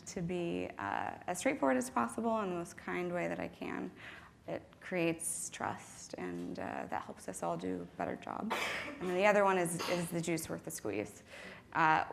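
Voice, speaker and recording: Gravelly-voiced woman panel moderator. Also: on the low side, moderate pace, slight background noise. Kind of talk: panel discussion.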